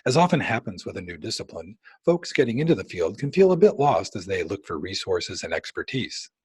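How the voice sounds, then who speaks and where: A man's voice: sits low, very animated, fairly quick, husky, no room sound. A man hosting a podcast, reading a scripted introduction and then interviewing his guest eagerly, often restarting his sentences. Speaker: podcast host.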